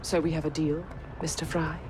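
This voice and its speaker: A woman's voice: low-pitched, flat delivery, fairly quick, music in the background, close to an everyday voice, rough recording. An actress playing a shrewd, refined Victorian businesswoman in a game cutscene, with poised, polished diction. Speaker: businesswoman Pearl Attaway.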